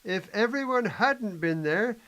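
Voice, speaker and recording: A man's voice: high-pitched, animated, a little slow, a bit bright, dull audio. A man playing a cheery, idealistic adviser to a Prime Minister in an amateur play, upbeat and earnest in his delivery.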